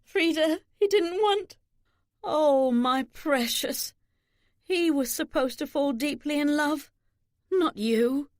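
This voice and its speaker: A woman's voice: high-pitched, slow, a little mellow, no room sound, clean studio sound, voice pushed up. A woman as an earnest, guarded older mother. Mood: sorrowful, gentle. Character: proud older mother, loving but guarded.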